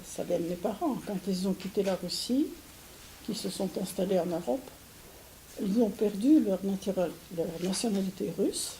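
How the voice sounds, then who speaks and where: Older woman from Syria, mellow and hesitant, faint hiss under the recording. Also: mid-range voice, poor audio. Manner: hesitant, with frequent restarts and soft laughs. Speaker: interviewee. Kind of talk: recorded interview.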